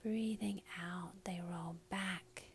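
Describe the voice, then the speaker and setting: Woman doing guided meditation, really slow and even, soft breathy voice, bit of background hum. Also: fairly low pitch. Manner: soft, breathy, soothing instruction with frequent pauses. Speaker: meditation teacher.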